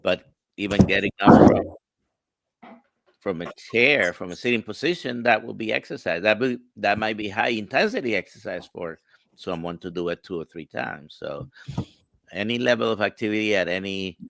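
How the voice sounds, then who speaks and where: A man with a Spanish-sounding accent from a stroke, a doctor explaining in slow, halting phrases on a live stream. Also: lowish voice, expressive, vocal fry, fairly bright tone, no echo, low-quality recording. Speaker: doctor guest. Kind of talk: casual live-stream chat.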